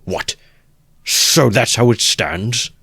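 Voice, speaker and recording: A man voicing a pompous, self-righteous gentleman suitor in an audiobook, wordy and emphatic in his affront. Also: deep voice, moderate pace, dry recording, clean studio sound. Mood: affronted, incredulous.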